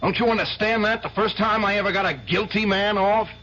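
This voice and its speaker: An actor playing a bitter, sarcastic lawyer with a guilty conscience, in a 1970s radio drama. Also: male voice, high voice, fairly quick, sharp tone. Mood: heated. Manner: dry and sarcastic, flaring up hotly. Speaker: defense lawyer. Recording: dull lo-fi old radio broadcast with faint hiss.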